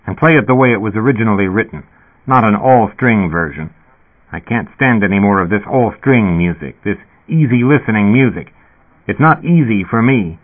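A man's voice: medium pitch, close to an everyday voice. Confused rambly guy just out of cryo, earnest, phone-thin audio, read by a man. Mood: rambling. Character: confused, deluded man fresh from cryonic suspension. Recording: tinny phone-like sound.

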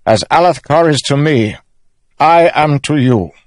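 Man acting an anguished, dramatic young king, pitched up. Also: very animated, normal speed, no echo. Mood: solemn oath. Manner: pleading, declamatory. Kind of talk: fantasy audiobook character acting.

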